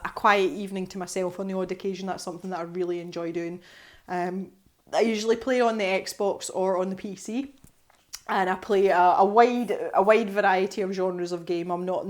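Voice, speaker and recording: A woman from the west coast of Scotland with a Scottish accent, chatting casually to camera as she answers viewer questions, laughing often and restarting her sentences. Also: medium pitch. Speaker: art channel host.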